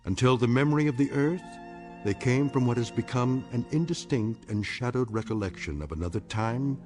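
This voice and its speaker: An actor playing a grave narrator of an eerie science fiction radio drama, measured, deliberate and ominous. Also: male voice, mid-range voice, close to an everyday voice.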